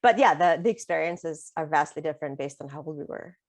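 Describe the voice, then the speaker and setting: A woman's voice: low-pitched, brisk, dry recording. A middle-aged woman whose first language is Russian, an author speaking casually in an interview with many fillers and easy laughter. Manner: chatty, many fillers and restarts, laughs easily. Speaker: author and guest. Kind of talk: conversational interview.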